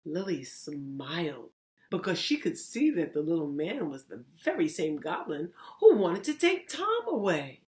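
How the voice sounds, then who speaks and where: A woman narrating a children's story as a reading teacher, deliberate and theatrical in her phrasing. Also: lowish voice, very animated, darkish tone. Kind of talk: expressive children's read-aloud narration.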